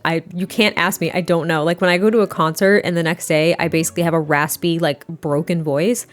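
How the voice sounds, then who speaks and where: A young adult woman with a slightly hoarse, rough voice, chatting casually and rambling through a voiceover, sounding a little tired. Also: lowish voice, steady tone, fairly quick. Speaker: Sims speed build creator.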